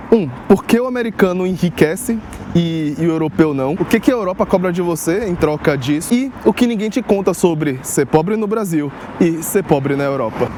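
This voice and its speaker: A young man from Salvador, casually explaining to his vlog audience in a direct, conversational way. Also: fairly high pitch. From Salvador, Northeast Brazil. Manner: conversational, direct address with rhetorical asides. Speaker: vlogger on life abroad. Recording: street noise behind.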